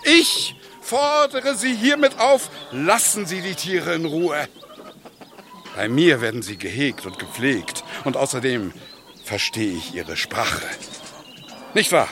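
An actor playing an eccentric, indignant animal-loving doctor in a children's radio play, theatrical and self-righteous. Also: male voice, fairly high pitch, animated, takes it slowly, slight background noise. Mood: self-righteous, pleading.

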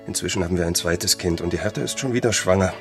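A man's voice: low voice, over music. Weary, pleading door-to-door salesman. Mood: resigned. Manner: pleading, halting, deferential. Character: worn-out, desperate young male door-to-door wax salesman. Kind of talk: German radio play acting.

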